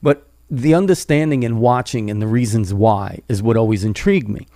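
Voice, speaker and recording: A man's voice: mid-range voice, a little fast, dark tone, no room sound. A man hosting a podcast interview, rambling along and tagging his sentences with "right?", on a close studio mic. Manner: rambling, keeps adding "right?". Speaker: podcast host. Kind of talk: casual interview talk. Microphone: studio boom mic with headphones, close.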